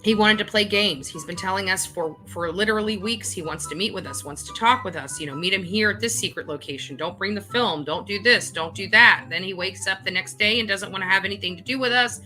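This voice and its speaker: A woman's voice: mid-range voice, fairly quick, hardly any pauses, sharp tone, soft background music, rough recording. A woman speaking off the cuff in an indignant, emphatic, self-justifying way, like a vlog host. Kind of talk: unscripted monologue to camera.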